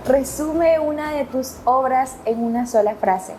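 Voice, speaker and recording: Female interviewer, fairly high voice, prepared questions and brief replies, clear music bed behind. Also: measured pace.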